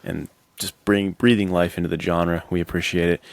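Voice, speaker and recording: A man with a deep voice chats as a podcast co-host. He is enthusiastic and slangy, speaking loosely and informally. The sound is dry and close, with very little room in it. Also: flat delivery, normal speed, gravelly, no echo. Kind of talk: casual podcast chat.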